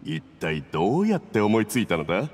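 A man's voice: on the low side, wildly swooping pitch, brisk, darkish tone, big-room sound. A man voicing a scheming, domineering male agent in an anime video game, smug, patronizing and commanding. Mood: patronizing praise. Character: scheming, domineering Fatui agent toasting his accomplice.

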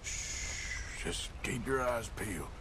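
An actor playing a rugged, patient Wild West outlaw in a video game, with an American accent and a plain, understated, folksy drawl. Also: male voice, mid-range voice, lively intonation, slow, noisy background. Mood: hushed, focused. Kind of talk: naturalistic video game acting.

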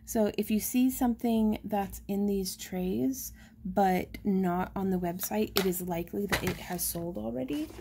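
A chatty woman shows off stones at her craft table, her voice mellow and a little gravelly. She gets excited and drops into soft asides, over a faint hiss. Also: mid-range voice, unhurried. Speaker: shop owner showing handmade stones. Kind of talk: casual live shop update.

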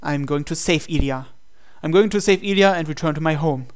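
A man's voice: a little high, fairly quick, clear, resonant tone. A man reading a visual novel aloud as dramatic first-person narration, with an earnest, intense and theatrical delivery. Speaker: let's-play reader of a visual novel.

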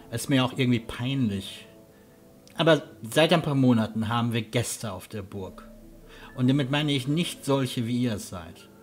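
A man playing a courteous, earnest huntsman guide with a halting manner in a fantasy audio drama. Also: medium pitch, natural voice. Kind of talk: German fan audio drama acting.

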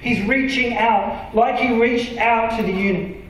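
An Australian man preaching a sermon from a church stage, earnest and emphatic, sometimes dropping to a soft, intimate tone. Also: very high-pitched, fairly even intonation, unhurried, slight background noise. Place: large dark church auditorium stage. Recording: very echoey hall from far off.

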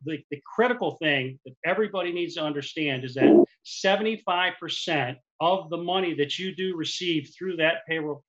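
A man's voice: fairly high pitch, a bit bright, lo-fi sound. A man in his forties giving a webinar talk as a lender, explaining at length with frequent restarts, speaking through a headset mic on a video call. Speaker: commercial lender. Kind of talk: webinar presentation. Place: office with printed backdrop. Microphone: headset boom mic on a video call.

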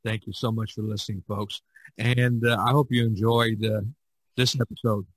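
A man's voice: fairly low pitch, little pitch movement, normal speed, slightly rough, dry recording, low-quality recording. A man hosting a podcast in a casual, chatty style, often chuckling as he talks. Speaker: podcast host.

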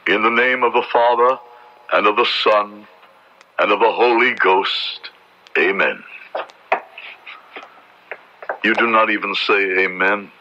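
Stern, solemn Puritan minister, sharp forceful delivery, noisy vintage radio drama. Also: male voice, fairly low pitch, unhurried. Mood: severe. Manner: solemn, formal, exhorting. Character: stern, unyielding Puritan minister, pious and pitiless. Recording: dull, noisy old radio drama recording.

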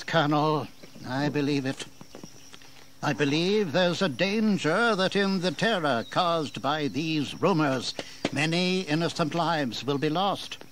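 A high, widely swooping voice carries an indignant colonial governor in a historical audio drama. He is oratorical and authoritative, with bitter sarcasm, over faint background noise. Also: fairly bright tone, full character voice. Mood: grave. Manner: oratorical, emphatic, deliberate.

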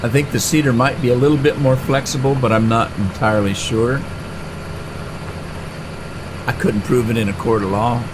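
A man's voice: mid-range voice, fairly even intonation, normal speed, long pauses. A middle-aged man hosting a farming game let's-play, talking in a chatty, rambling way with frequent fillers and restarts. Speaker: gaming channel host. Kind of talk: casual let's-play commentary. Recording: game engine noise clearly behind the voice. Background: tractor and engine sounds from the game.

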